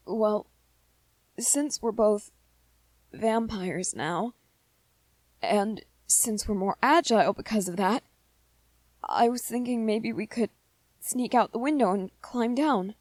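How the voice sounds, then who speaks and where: A woman plays a gentle young male vampire in a romantic ASMR roleplay, pitched on the high side for the performer. He speaks slowly and hesitantly, tripping shyly over his words. The sound is dry and close, as if meant for one adored listener. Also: dry recording. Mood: shyly hopeful. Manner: hesitant, soft-hearted, stammering with repeated words.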